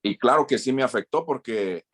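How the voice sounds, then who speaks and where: A Mexican man, a former missionary, answering an interview question slowly, with many restarts and repeated words. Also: mid-range voice, expressive.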